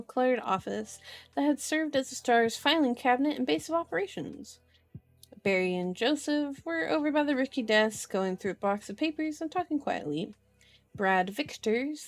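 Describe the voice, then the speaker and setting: Asmr lady reading a novel out loud, playful n chatty, soft music on. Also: high-pitched. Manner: playful, chatty, strays from the text into comments. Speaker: host of an ASMR channel. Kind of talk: ASMR book reading with casual asides.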